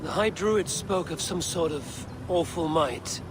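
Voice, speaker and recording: Guy voicing serious viking warrior in a game, terse, slightly high voice, noisy ambience. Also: measured pace, natural voice. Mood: grave, attentive. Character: steady Viking warrior, plain-spoken and questioning. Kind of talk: dramatic video game acting. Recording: clear ambient noise behind voice.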